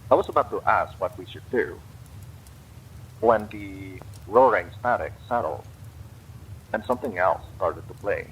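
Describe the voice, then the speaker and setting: A man reading a horror story aloud, in a slow and expressive way. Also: sits low, a little hiss or hum, rough recording. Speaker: story reader.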